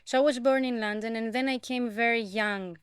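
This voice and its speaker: A woman from Greece with a Greek accent, speaking reflectively as an interview guest, in a contemplative, gently halting way. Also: a little high, fairly even intonation, smooth, dry recording, clean studio sound. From Greece, grew up in Athens. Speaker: podcast guest.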